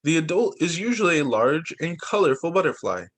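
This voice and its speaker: A man's voice: a little high, big pitch swings, fairly quick. A young man, a teacher, reading picture books aloud to children over a video call and breaking in with little asides and exclamations. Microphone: computer mic on a video call.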